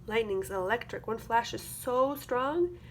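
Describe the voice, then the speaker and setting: A woman reading a children's picture book aloud as a teacher, with expressive, rhythmic delivery. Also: fairly high pitch, unhurried. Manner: rhythmic, expressive delivery of rhyming verse with explanatory asides. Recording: clear background noise.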